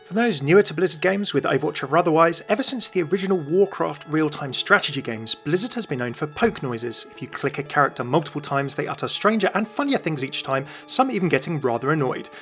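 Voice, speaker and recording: Casting: British male gaming host, slightly high voice, rapid scripted delivery over a light music bed. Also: animated, a bit bright. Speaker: video host. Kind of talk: scripted narration, game commentary.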